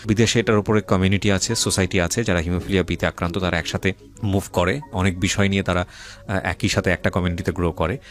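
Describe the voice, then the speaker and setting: Deep-voiced, fast-talking man hosting radio. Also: fairly even intonation. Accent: Bangladeshi. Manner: mixes English phrases into Bengali; steers with questions and recaps. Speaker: radio show host. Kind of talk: interviewing a guest about a life story.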